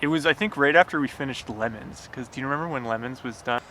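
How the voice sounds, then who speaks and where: A man chatting casually as a writer guest, in a halting way with repeated false starts. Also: medium pitch, sharp tone. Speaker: screenwriter guest. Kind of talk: casual chat. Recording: clearly noisy outdoor urban ambience.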